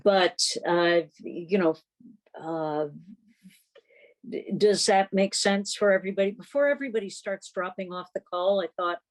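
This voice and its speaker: A middle-aged woman chairing a club meeting on a video call, talking casually in a halting, rambling way. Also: mid-range voice.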